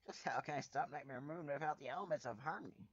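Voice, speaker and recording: A man's voice: fairly high pitch, quick pace, breathy edge, highs cut off. A man voicing a bookish, frantic young female unicorn in a story reading, earnest and exasperated.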